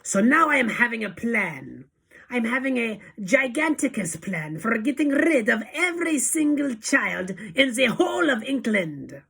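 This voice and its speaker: A woman's voice: medium pitch, expressive, a little slow, gravelly, a bit bright, breathy edge, voice pushed up, heavily acted. A woman voicing a tiny, fiendish, tyrannical witch leader who screeches and barks commands in mangled grammar for a storybook. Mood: fiendish, triumphant. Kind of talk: dramatic audiobook character acting.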